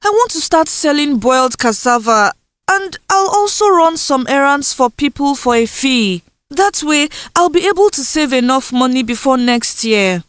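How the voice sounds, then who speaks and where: Woman acting high-pitched, emotional, determined village girl. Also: expressive, normal speed, dry recording, studio quality. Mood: hopeful, determined. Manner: pleading, emotional. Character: determined, tearful teenage village girl, pleading and defiant.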